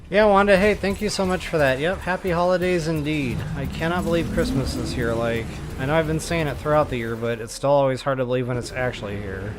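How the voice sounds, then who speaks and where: A man's voice: fairly high pitch, a little fast, few pauses, over music. A man doing casual live gameplay commentary as a streamer, rambling along with folksy slang. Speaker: game streamer.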